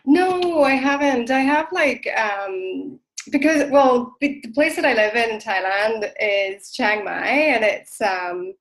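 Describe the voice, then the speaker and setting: Irish woman, higher voice, hesitant. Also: takes it slowly, poor audio. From Ireland. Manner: hesitant, lots of likes and ums. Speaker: guest. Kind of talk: casual interview chat.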